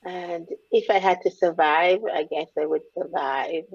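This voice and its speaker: Woman, fifties, gravelly, husky, reflective, dull lo-fi sound. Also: fairly low pitch, fairly even intonation, unhurried. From Caribbean, born in Grenada, raised in Trinidad. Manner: reflective, speaks openly about hard memories. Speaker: guest, empowerment coach. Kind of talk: candid interview conversation. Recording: dull lo-fi audio.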